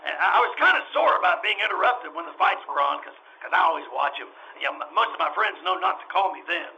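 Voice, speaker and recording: Man acting a high-pitched, flustered, stammering animal-show owner. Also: sing-song, quick pace, vocal fry, sharp tone, dull audio, exaggerated voice. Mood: flustered, chatty. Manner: stammering, repeats himself, rambling. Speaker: stage actor in radio troupe. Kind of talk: old-time radio drama acting. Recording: faint noise, live radio re-enactment.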